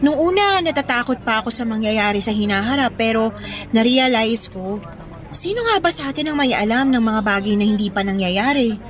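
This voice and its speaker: A woman's voice: on the high side, expressive, fairly quick, close to an everyday voice. A woman with a Filipino accent playing an earnest, hopeful young daughter in an emotional radio drama. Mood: earnest, tender.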